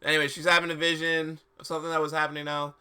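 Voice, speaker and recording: Livestream host with a bright, forceful voice, theatrical and mocking, reading fan fiction and commenting casually. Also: mid-range voice, flat delivery, racing, clear, resonant tone. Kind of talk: comic dramatic reading with casual asides.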